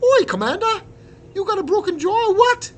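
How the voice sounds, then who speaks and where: Man putting on a feisty high-pitched Irish lass. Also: expressive, moderate pace, a little hiss or hum. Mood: teasing. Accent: Irish brogue. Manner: teasing, bold, folksy turns of phrase. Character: feisty young female potato resistance captain.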